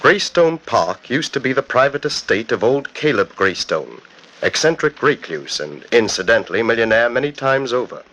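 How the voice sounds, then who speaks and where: A man narrating a 1940s radio mystery in a theatrical, deliberate storytelling manner. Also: medium pitch, big pitch swings, fairly bright tone, slight background noise, low-quality recording. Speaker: narrator, host. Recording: old 1940s radio broadcast.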